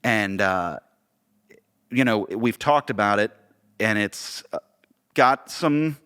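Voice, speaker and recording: A man's voice: lowish voice, expressive, takes it slowly, fairly bright tone, smooth. A man with an American accent talking casually on a podcast as co-host, often searching for words and repeating phrases.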